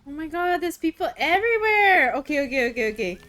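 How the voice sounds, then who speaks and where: A woman streaming a video game and chatting casually, excitable and swearing freely, her throat a little rough today. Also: high voice, fast talker. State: throat a bit rough, voice deeper than usual. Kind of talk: casual chat while gaming.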